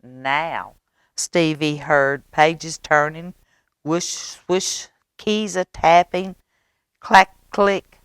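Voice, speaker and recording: A woman reading a picture book aloud to children in a dramatic, expressive way, drawing out words. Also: sits low, smooth, dry recording, clean studio sound. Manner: dramatic, expressive, with drawn-out words and hushed asides. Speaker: library storyteller reading to children. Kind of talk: animated picture-book read-aloud with sound effects.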